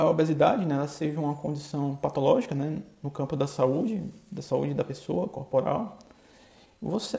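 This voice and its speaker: A man from Bahia with a Bahian accent, hosting a podcast in a halting, casual monologue full of filler tags. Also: fairly high pitch, creaky, warm tone. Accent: Bahian Brazilian Portuguese. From Bahia, Brazil. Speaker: podcast host. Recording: echoey room.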